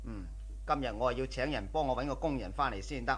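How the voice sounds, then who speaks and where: An actor playing a doting husband in a radio drama, calm, soothing and indulgent. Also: male voice, medium pitch, fast, husky. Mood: reassuring. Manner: reassuring, indulgent.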